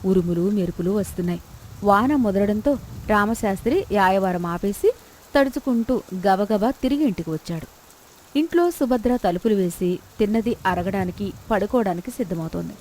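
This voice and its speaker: A woman's voice: medium pitch, lively intonation, moderate pace, darkish tone, a little hiss or hum, rough recording. A woman storyteller reading a scripted moral tale as narration.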